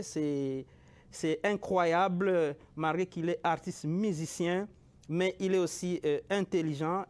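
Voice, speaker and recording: Guy hosting a local tv interview, bit high voice, stumbly, repeats stuff. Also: a little mellow, clean tone. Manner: halting, repeats words, reads out documents. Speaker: TV show host. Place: local TV studio.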